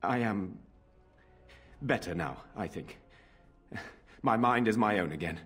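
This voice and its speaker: A man playing a nobleman uncle in a fantasy video game, with a rough, husky voice. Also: on the low side, expressive, slow pace, fairly bright tone, soft background music, heavily acted. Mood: weak, relieved. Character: nobleman uncle, freed from a demon's mind control.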